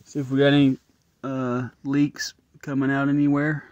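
A man's voice: medium pitch, completely flat delivery, normal speed, darkish tone, no echo, lo-fi sound. A man thinking aloud as he tests an engine at his garage workbench, casual and a bit frustrated. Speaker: home mechanic vlogger. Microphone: phone camera held close.

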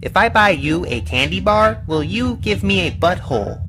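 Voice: mid-range voice, animated, moderate pace, close to an everyday voice. An actor voicing a cheeky humanoid robot in a cartoon, childishly insistent and taunting. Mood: pleading. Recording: music behind the voice.

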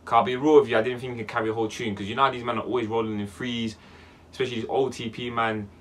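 A young man in his twenties with a London accent, hosting a music reaction video in casual slang-heavy banter, swinging from loud bursts to low mutters. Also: fairly even intonation, racing. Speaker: music reaction channel host. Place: small dark room indoors.

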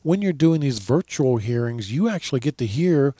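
A man's voice: medium pitch, lively intonation, normal speed, dark tone, no echo. A man hosting a law radio show, chatting casually with a colleague in a studio on a headset mic. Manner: rambling, folksy storytelling. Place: dark studio.

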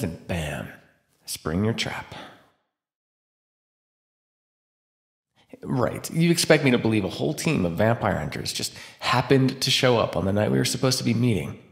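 A man acting a starving captive vampire, wildly expressive. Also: lowish voice. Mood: sarcastic, bitter. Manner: sardonic, teasing, breathy pauses and sighs. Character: starving captive male vampire, sardonic, flirtatious, desperate. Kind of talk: immersive ASMR roleplay monologue. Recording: roomy, echoing sound.

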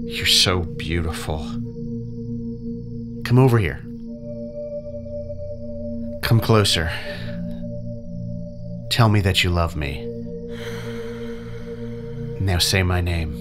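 A man's voice: sits low, extremely slow, long pauses, over music. A man playing an obsessive, manipulative captive in an audio drama, with an intense, commanding, brooding manner. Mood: yearning, commanding.

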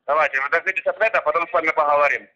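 A man's voice: fairly high pitch, rapid-fire, pushed and bright. A man on a phone call, speaking formally and insistently as he presses for an answer. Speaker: phone scammer posing as a company worker. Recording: tinny phone line.

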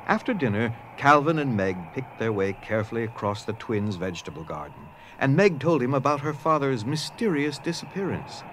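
A man's voice: medium pitch, very animated, brisk, few pauses, fairly bright tone, slight background noise, low-quality recording. A man reading scripted narration for a dramatized story. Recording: music under the voice.

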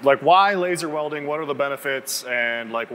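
Bright-voiced man hosting a casual interview. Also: fairly high pitch, normal speed. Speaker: host interviewing on a factory tour. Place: indoors, sound-testing room and factory floor.

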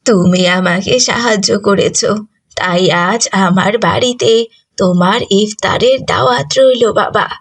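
A woman voicing a kindly, grateful old lady in a cartoon, speaking slowly and gently. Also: medium pitch, husky, distant mic, pitched below the performer's own voice.

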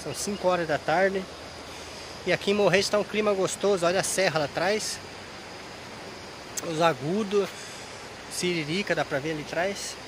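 Hoarse man vlogging by a river. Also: high voice, moderate pace, long pauses, noisy background. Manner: chatty, addresses viewers directly. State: hoarse from a cold. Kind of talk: casual travel vlog. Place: outdoors at a riverside campsite. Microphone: action camera held at arm's length.

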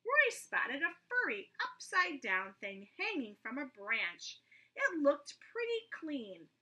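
A middle-aged woman reading a children's story aloud indoors, with a deliberate, expressive delivery. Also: high-pitched, rough recording. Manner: deliberate, animated. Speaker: storybook reader. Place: small living room, indoors.

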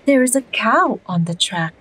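A woman reading a children's story aloud expressively, with sing-song, drawn-out emphasis. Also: a little high, expressive, fairly bright tone, slight background noise.

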